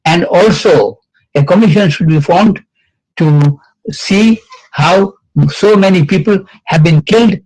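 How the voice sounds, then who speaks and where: An older man giving a reminiscing speech at a commemorative event, slow and halting with many fillers and restarts. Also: high-pitched, darkish tone, breathy edge, rough recording. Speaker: event speaker.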